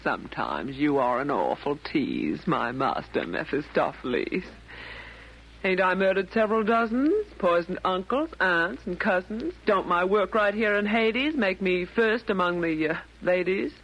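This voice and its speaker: A woman's voice: lowish voice, expressive, measured pace. An actress playing a coy, murderous female poisoner with a brassy, slangy manner in a 1940s radio comedy. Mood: coy, scheming. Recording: noisy old 1940s radio broadcast.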